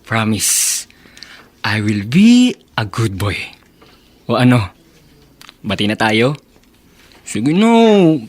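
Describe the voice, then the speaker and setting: A man's voice: fairly low pitch, very animated, extremely slow, long pauses, exaggerated voice. A man with a Filipino accent playing a boyish, cajoling young suitor in a radio drama. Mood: pleading. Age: young adult. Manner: playful, cajoling. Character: teasing, pleading young suitor, boyishly charming. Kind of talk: Tagalog radio drama acting.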